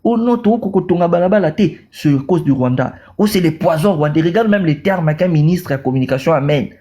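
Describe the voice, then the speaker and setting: Congolese man, mellow voice, fervent debate. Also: a little high, expressive, fairly quick. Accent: Congolese French. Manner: repeats words, mixes in Lingala. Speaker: caller in a debate. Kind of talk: impassioned political talk.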